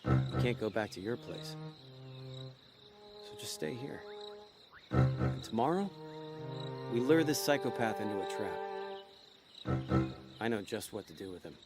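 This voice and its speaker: A man's voice: lowish voice, sing-song, measured pace, vocal fry, long pauses, over loud music, full character voice. An actor playing a laid-back, cocky small-time con man in a noir audio drama, wry and slangy. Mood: low, probing.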